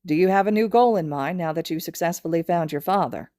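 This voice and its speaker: A woman's voice: fairly low pitch, quick pace, warm tone, dry recording, clean studio sound, lightly acted. A woman voicing a kindly, courteous man who teaches martial arts in an anime story, speaking politely. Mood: curious, pleased. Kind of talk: anime fan fiction character reading.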